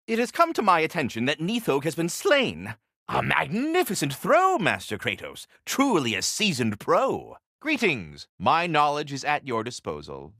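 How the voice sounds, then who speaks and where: Courtly talking squirrel for a fantasy game: highish, hugely animated, theatrical and effusive, close dry sound. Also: male voice, normal speed, no echo, full character voice. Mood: cheerful, effusive. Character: polite, fussy talking squirrel tending the World Tree. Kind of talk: video game character dialogue.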